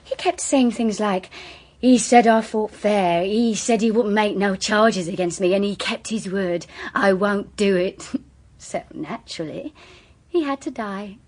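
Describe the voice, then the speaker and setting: An actress playing a coolly amused, seductive and remorseless murderess in a radio drama, with a silky, mocking delivery. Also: female voice, medium pitch, measured pace, darkish tone, close to an everyday voice. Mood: cold, scornful.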